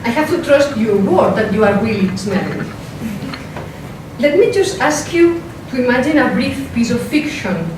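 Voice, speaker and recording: A woman with a strong Spanish accent gives an academic talk in a lecture room, measured and careful, with hesitant fillers when she leaves her script. Her voice sits a little high and comes from a distance. The room is very echoey and the recording carries clear noise. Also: expressive. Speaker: philosopher giving a workshop talk. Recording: echoey lecture hall, mic from a distance, noisy.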